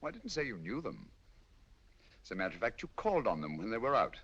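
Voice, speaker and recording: Picture a calm, shrewd detective, measured and courteous with a dry confidence. His delivery swings lively and expressive through each line, the tone a touch husky. It all comes through a dull, muffled old radio recording. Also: lowish voice, heavily acted. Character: shrewd, calm male detective, middle-aged. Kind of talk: 1940s radio acting.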